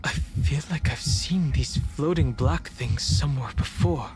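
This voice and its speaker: Game role: guarded young man on watch played by a man, unhurried and grave, formal wording, music underneath. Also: fairly high pitch, lightly acted. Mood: uneasy. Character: solemn, guarded young male guard of a space train. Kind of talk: dramatic video game voice acting. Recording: game dialogue over clear background music.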